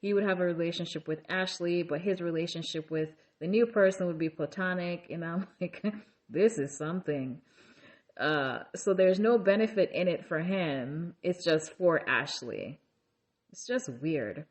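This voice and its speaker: Woman, casual recap host, chatty, filler-heavy, lower-pitched voice. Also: normal speed. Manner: chatty, conversational, lots of fillers and asides; acts out quoted lines in a raised pitch. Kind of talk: casual episode recap commentary.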